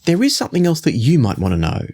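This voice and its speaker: A man in his forties giving a measured, conversational product review to camera, explaining things as he goes. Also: a little high, huge pitch swings, darkish tone, breathy edge, faint music behind. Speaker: audio gear reviewer. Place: small indoor home studio room.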